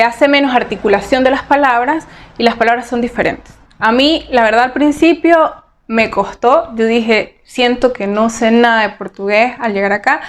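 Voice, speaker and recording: A woman from Venezuela answers interview questions in a fairly high voice. She talks earnestly and practically, with frequent hesitations on "eh". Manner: earnest, advice-giving, frequent "eh" fillers. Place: Portugal.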